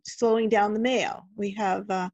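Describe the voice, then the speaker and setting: A woman interviews a guest in a conversational style. Her voice is rough-edged and husky, recorded dry with little room sound and a slightly muffled, band-limited tone. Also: medium pitch, steady tone, measured pace, a little mellow, no echo. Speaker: interviewer, host.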